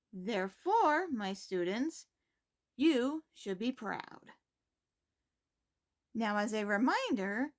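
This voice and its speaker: Lady as high school principal doing announcements, high voice, super lively intonation, formal n measured. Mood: composed, encouraging. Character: poised, caring high school principal giving morning announcements. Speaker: host reading school announcements. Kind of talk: scripted announcement.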